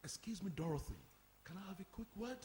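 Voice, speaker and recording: A man acting in a radio play as a timid, hushed office worker. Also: high-pitched, lively intonation, fast talker, long reverb tail, slight background noise. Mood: hesitant. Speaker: actor in a community radio play. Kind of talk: radio drama performed before an audience.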